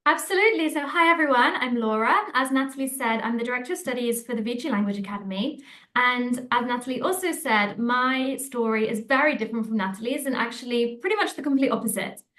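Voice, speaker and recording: A woman's voice: a little high, fast talker, pushed and bright, reverberant. A woman from England, introducing herself as a language school's director of studies in a friendly, fluent way. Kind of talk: self-introduction in a presentation.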